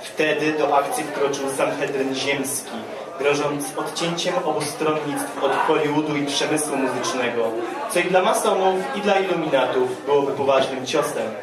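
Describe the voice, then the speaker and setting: A man reads a satirical piece like a mock lecture, deadpan and serious. His voice is fairly high, heard in an echoey venue with an audience around. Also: moderate pace, over a noisy crowd. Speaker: poet reading own work at a festival. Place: dark stage at a live poetry event, indoors. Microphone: microphone on a stand, heard through room. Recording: echoey live stage sound, distant, audience around.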